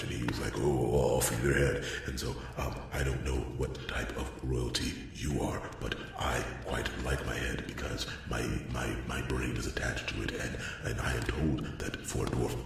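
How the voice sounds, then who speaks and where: A man's voice: extremely low pitch, gravelly. A man playing a lonely, awkward dwarf blacksmith in a fantasy ASMR roleplay, rambling and stammering earnestly as he tries to make a friend. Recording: echoey, distant, noisy with clicks.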